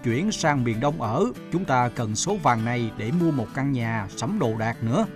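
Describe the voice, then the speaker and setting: An earnest middle-aged merchant father from a cartoon fairy tale, acted by a man. His mid-pitched voice is measured and protective, with music underneath. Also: expressive, close to an everyday voice. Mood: earnest, cautious. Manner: measured, advising.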